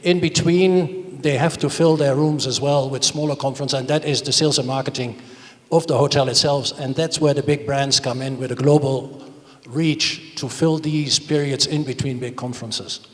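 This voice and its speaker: A man's voice: mid-range voice, steady tone, normal speed. A middle-aged man answering on a panel, explaining emphatically and repeating words as he goes. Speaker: panelist, hotel investor. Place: large indoor conference stage. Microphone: handheld microphone. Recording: reverberant conference hall sound.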